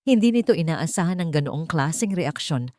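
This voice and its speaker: Low-voiced woman narrating drama, dry close sound. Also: expressive, moderate pace, a little mellow, no echo. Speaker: story narrator. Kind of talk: scripted dramatic narration of a romance story.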